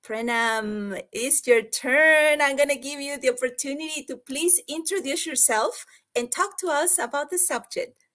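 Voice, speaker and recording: A woman with a fairly high voice hosts an online video call. She talks in an upbeat, encouraging way, often restarting her phrases as she pitches a business opportunity. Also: takes it slowly, a bit bright. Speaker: host and business coach.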